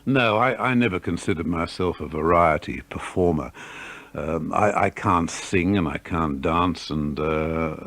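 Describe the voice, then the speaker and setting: A man's voice: sits low, measured pace, a bit bright. An older man, a veteran radio newsreader, reminiscing in an interview.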